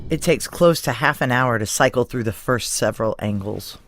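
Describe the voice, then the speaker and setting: A woman's voice: a bass voice, moderate pace, over music. A woman playing a hard-bitten but lovesick ex-soldier in a noir audio drama, blunt and emotionally raw. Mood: tense, narrating. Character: hard-bitten, lovesick female ex-soldier narrating her own heist.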